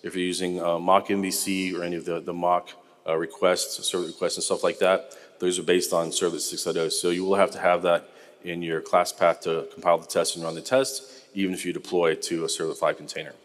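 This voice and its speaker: A middle-aged American man giving a technical conference talk in a relaxed, conversational way with frequent ums. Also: sits low, a little fast, in a large hall. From United States. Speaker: conference speaker. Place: large conference hall.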